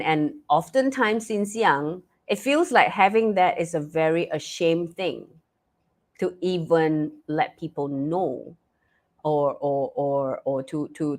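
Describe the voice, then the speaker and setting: A young woman chats through a live talk stream, her low voice hoarse and stuffy as she fights a sore throat. She talks loosely, full of fillers and restarts. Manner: chatty, many fillers and restarts. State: stuffy nose. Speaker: live talk show host.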